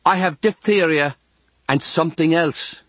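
A man plays a cheeky Irish girl of thirteen from a storybook. The voice is lifted high and calls out in a teasing sing-song. The sound is close and dry. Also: unhurried, fairly bright tone, dry recording. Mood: teasing, chatty. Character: lively, cheeky thirteen-year-old Irish girl in hospital.

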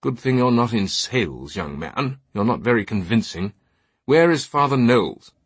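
A man's voice: mid-range voice, sing-song, moderate pace, breathy edge, no room sound. Man acting pompous upper-class British older knight. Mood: scornful. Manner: pompous, haughty. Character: imperious, scheming older British knight on crutches.

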